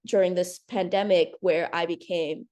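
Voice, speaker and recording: Young woman from Hong Kong, twenties, earnest and a little nervous, slightly low and mellow; presenter reading off slides. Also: steady tone, normal speed. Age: 20s. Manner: earnest, nervous, with frequent ums. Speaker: nursing graduate presenter. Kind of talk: presentation over video call.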